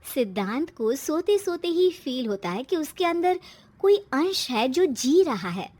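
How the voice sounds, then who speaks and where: A woman's voice: high-pitched, very animated, a little slow, darkish tone. A woman reading scripted narration as the narrator of a story.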